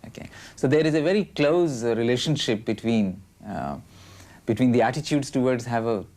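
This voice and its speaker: A man explaining ideas in a documentary interview, measured and thoughtful with frequent pauses to find words. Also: medium pitch, lively intonation, a little mellow. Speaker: language researcher.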